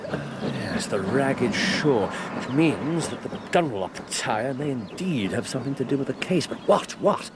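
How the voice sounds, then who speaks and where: A keen, self-assured English detective, played by a man in a radio play. His pitch swings widely as he thinks aloud, lectures and exclaims. Faint noise of the surroundings sits behind him. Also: animated, measured pace, hardly any pauses, close to an everyday voice. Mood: analytical, assured. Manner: didactic, thinks aloud, exclaims and repeats himself. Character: brilliant, brisk male detective, keenly absorbed and self-assured. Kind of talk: British radio drama acting.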